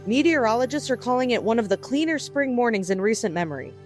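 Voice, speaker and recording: A woman's voice: on the high side, expressive, fast, soft background music, close to an everyday voice. A woman playing a composed, cheerful morning TV news anchor in an audio drama, polished and chatty with a light wry touch. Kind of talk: broadcast news reading.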